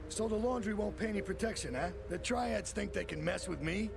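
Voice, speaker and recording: An actor playing a tough, short-tempered Italian-American mafia capo with an American accent, bossy and blunt, in a video game. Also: male voice, sits high, brisk, vocal fry, music in the background. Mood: angry, commanding. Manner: bossy, blunt wise-guy talk. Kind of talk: video game cutscene acting.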